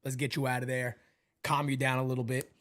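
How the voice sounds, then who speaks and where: Excitable man, casual sports chat. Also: mid-range voice, brisk. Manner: animated, swings to loud excited outbursts. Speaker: podcast co-host.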